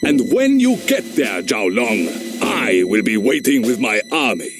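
An actor playing a gloating, threatening evil warlord in a video game cutscene, drawing out the words. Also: male voice, mid-range voice, big pitch swings. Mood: gloating, menacing. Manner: drawn-out, threatening. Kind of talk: dramatic game cutscene acting. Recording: loud music behind.

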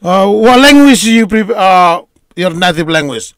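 A man's voice: sits high, expressive, a little slow, fairly bright tone, dry recording, studio quality. A man from North Sumatra in his late forties, hosting a casual video-call chat in a rambling way, repeating his words. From North Sumatra, Indonesia. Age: 40s. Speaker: host.

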